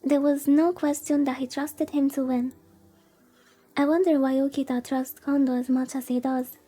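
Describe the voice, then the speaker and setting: A woman streamer with a high-pitched, mellow voice reads a story game aloud. She slips between narration and casual remarks, and now and then trips over a name. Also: flat delivery, moderate pace. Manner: casual asides, stumbles over names. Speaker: VTuber streamer reading a visual novel aloud. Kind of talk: live read-aloud of game dialogue with casual commentary.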